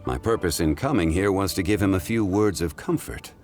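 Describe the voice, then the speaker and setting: Deep, formal, contemplative ancient gentleman, acted by a man. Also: soft background music, lightly acted. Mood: calm, reflective. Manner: formal, measured, eloquent, contemplative. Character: ancient, composed scholarly gentleman, calm, wise and reflective. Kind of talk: scripted video game cutscene dialogue.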